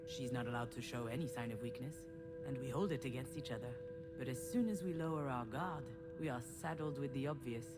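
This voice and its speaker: A woman's voice: extremely low pitch, fairly quick, poor audio. A woman playing a self-deprecating, wistful adult daughter, narrating her family memories in a reflective, conversational first-person voice for a visual novel. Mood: reflective, resigned. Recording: prominent music under the voice. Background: loud music.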